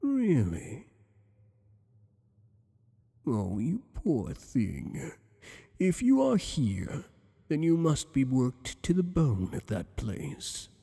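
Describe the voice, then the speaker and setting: A man playing a flirtatious, possessive tentacled alien boss in an ASMR roleplay, speaking in a teasing, courtly, deliberate way with long echoing reverb. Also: mid-range voice, wildly swooping pitch, gravelly, warm tone, slightly rough, full character voice. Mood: curious, pitying.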